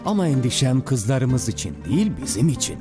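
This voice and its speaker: An actor playing an anxious, questioning priest in an animated film. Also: male voice, medium pitch, brisk, over music, natural voice. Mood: worried.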